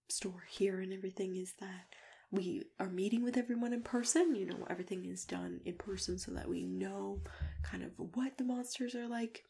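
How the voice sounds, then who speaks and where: A soft-spoken woman in an ASMR consultant roleplay, with a lowish-to-middling pitch and plenty of fry. She drifts between breathy murmur and whisper, gentle and unhurried. The mic is close, with clear noise of typing and clicks around her voice. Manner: gentle, unhurried, halting, with small agreeing hums. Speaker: ASMR roleplayer acting as a monster matchmaking consultant. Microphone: close microphone.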